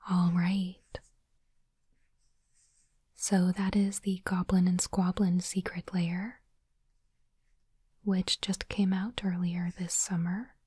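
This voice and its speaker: A woman whispering gently and breathily in an ASMR style, in unhurried short phrases, close to the microphone. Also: fairly low pitch, flat delivery, dry recording. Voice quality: soft, breathy unvoiced whisper. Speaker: ASMR host unboxing trading cards. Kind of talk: whispered ASMR, reading cards and commenting. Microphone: close microphone, dry.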